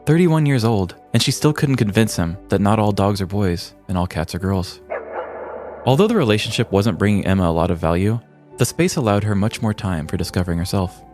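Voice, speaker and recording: A man's voice: fairly low pitch, animated, a little fast, darkish tone, soft background music. An American man hosting a podcast, reading a scripted comedic story with arch, theatrical mock-seriousness. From United States. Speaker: podcast host.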